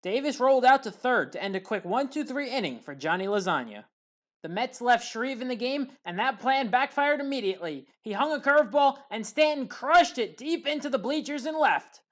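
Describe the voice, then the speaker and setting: Woman, somewhat high-pitched, sports commentator, scripted highlight narration, play-by-play recap. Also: expressive, moderate pace.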